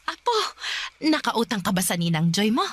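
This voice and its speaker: A woman's voice: medium pitch, big pitch swings, normal speed, no echo. An actress playing an elderly, worn but protective Filipino grandmother in a Tagalog radio drama, scolding and caring by turns. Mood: surprised, relieved.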